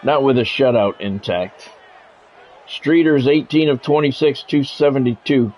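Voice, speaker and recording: A man's voice: medium pitch, measured pace, darkish tone. An American man doing football play-by-play on a gaming stream, mixed with casual chat. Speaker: gaming streamer calling play-by-play of own game; former radio sports play-by-play announcer.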